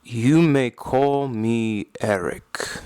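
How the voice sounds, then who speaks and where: A man playing a brooding, commanding phantom in an audio drama, speaking in a formal, measured way. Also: mid-range voice, darkish tone, clear, resonant tone, dry recording, studio quality. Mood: measured, intrigued. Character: brooding, commanding male phantom of an opera house.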